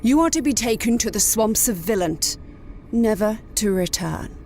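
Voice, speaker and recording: A woman's voice: mid-range voice, animated, moderate pace, a little mellow, slight background noise, close to an everyday voice. A woman playing an imperious, ruthless wolf mother, formal, commanding and menacing, in a sci-fi audio drama. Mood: cold menace.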